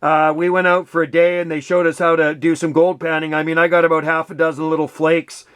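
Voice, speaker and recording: A man's voice: on the high side, level tone, fairly quick, fairly bright tone, clean tone, no echo. A man chatting casually and enthusiastically as an amateur radio operator. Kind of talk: casual chat over radio.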